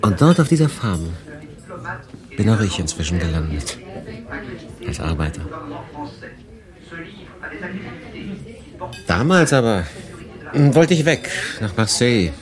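A male actor playing a world-weary refugee in 1940s France, confiding his story in a dramatic monologue with deliberate pauses. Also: lowish voice, very animated. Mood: matter-of-fact. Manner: conversational, deliberate pauses, shifting between emphatic and hushed. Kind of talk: dramatic first-person monologue, literary audio drama.

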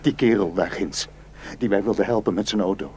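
A man's voice: lowish voice, fairly quick, a little mellow, a little hiss or hum, natural voice. An actor in a radio drama playing a protective, suspicious, hot-tempered village herdsman and husband, scornful and impulsive. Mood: angry, threatening.

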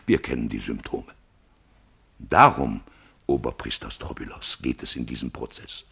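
An actor playing a fervent, combative arch-priest in a German radio play, with oratorical, emphatic delivery and a husky voice. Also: male voice, extremely low pitch, fairly bright tone, full character voice. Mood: solemn threat. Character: grandiloquent male arch-priest, fervent, combative and self-righteous.

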